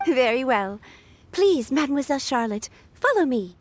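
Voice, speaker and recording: A woman's voice: high-pitched, sing-song, normal speed. A woman voicing a formal, composed attendant to a noble lady in a video game. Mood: courteous, composed. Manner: formal, polite. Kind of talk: video game character acting.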